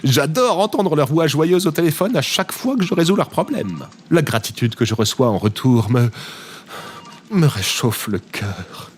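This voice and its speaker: A man's voice: fairly high pitch, normal speed, breathy edge. A man playing an exuberant, naive former president turned happy phone operator in a comic audio drama, gushing theatrically. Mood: elated, gushing. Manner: gushing, theatrical, wildly animated. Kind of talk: audio drama comedy acting. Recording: faint background noise.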